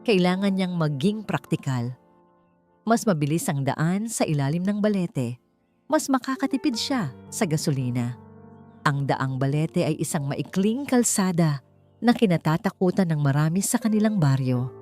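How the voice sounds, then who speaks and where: Woman narrator, Filipino accent, mellow timbre, measured suspenseful storytelling, faint background music. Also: on the low side, expressive. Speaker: audiobook narrator. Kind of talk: dramatic scripted storytelling narration.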